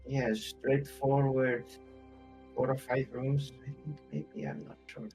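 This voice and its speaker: A man's voice: mid-range voice, little pitch movement, takes it slowly, darkish tone, soft background music, poor audio. A man playing in a tabletop roleplay game, talking casually in and out of character.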